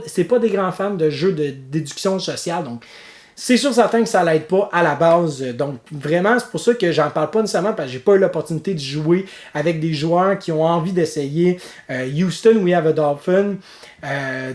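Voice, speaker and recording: Young Québécois man, fairly high-pitched, rambling friendly host talk in an echoey room. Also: a little mellow. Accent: Québécois French. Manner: chatty, many fillers and restarts. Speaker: board game channel host. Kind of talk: casual monthly vlog update.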